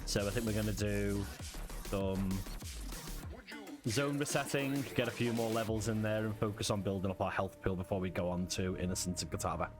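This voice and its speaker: Low-voiced Liverpool man streaming over music. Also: steady tone, normal speed. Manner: chatty, thanks chat, frequent chuckles and fillers. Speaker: game streamer. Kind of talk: relaxed live-stream chat. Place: home. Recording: clear background music.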